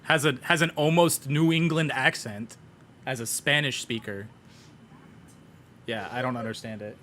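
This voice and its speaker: A man's voice: mid-range voice, steady tone, pushed and bright, a little hiss or hum. Swearing, laid-back young male game master. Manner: profane, joking, quick to laugh. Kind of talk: casual tabletop game running.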